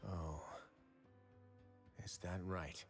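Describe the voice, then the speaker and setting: A low-voiced, commanding duke. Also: male voice, flat delivery, loud music behind the voice, exaggerated voice. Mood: composed. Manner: measured, deliberate, authoritative. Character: commanding, shrewd duke in charge of a fortress. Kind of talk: video game voice acting.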